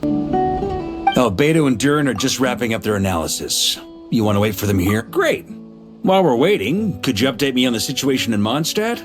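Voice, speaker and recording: A man's voice: medium pitch, big pitch swings, moderate pace, over music, natural voice. A man voicing an easygoing, confident knight commander in a video game. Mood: friendly. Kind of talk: video game character acting.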